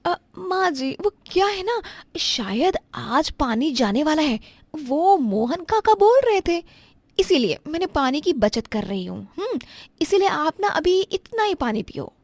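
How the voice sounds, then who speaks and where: A woman's voice: high-pitched, very animated, a little slow, dry recording, voice pushed up, full character voice. A woman voicing a rich, stingy young daughter-in-law, sweetly affected and condescending, in a moral story. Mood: falsely sweet.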